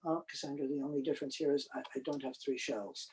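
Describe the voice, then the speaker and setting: A man's voice: mid-range voice, fairly even intonation, quick pace, a little mellow, slightly rough. A man hosting a casual unboxing, chatting and explaining in a playful, jokey showman way. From New York. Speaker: host, film collector and presenter. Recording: clicky, faintly noisy live room recording.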